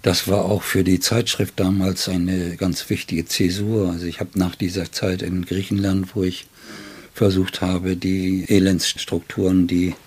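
An older man, a writer, reminisces in an interview in a deliberate, anecdotal way with long, detailed sentences. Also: sits low, little pitch movement, darkish tone, breathy edge. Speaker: writer and literary editor being interviewed.

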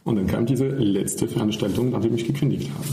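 A man's voice: mid-range voice, brisk, dark tone, reverberant. A man from near Paris with a French accent, chatting casually on a wine podcast and sometimes searching for German words. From France, near Paris. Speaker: winery co-owner.

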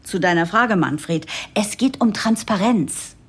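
Husky, animated, determined female boss. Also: mid-range voice, a little slow. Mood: eager, persuasive. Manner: expressive, explanatory. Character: determined new female managing director of a sleepy utility. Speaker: narrator and lead character. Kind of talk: German audio drama, first-person narration and dialogue.

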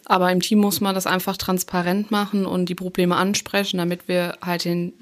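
A woman's voice: lowish voice, flat delivery, a little fast. A woman from a hospital nursing team answering interview questions in a casual, plain-spoken way. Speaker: nurse team member.

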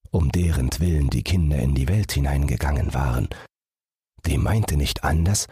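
Male audiobook narrator, extremely low voice, husky, bedtime fairy-tale reading, dry recording. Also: darkish tone, dry recording.

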